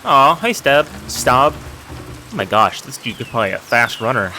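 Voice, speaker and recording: A man's voice: medium pitch, sing-song, normal speed, noisy background. A man giving casual let's play commentary, informal and slangy, musing to himself as he goes. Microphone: wired mic.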